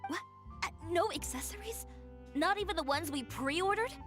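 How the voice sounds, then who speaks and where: An actress playing a sharp-tongued, proud young fashion designer in a video game, sardonic and crisply commanding. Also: female voice, very high voice, animated, moderate pace. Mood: exasperated. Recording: music behind the voice.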